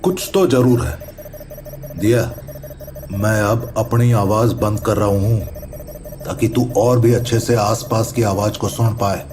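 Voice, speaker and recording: A focused male investigator gives measured, slow instructions in a horror audio drama. Clear humming noise surrounds his voice. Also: on the low side, steady tone. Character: focused male investigator calmly guiding a woman.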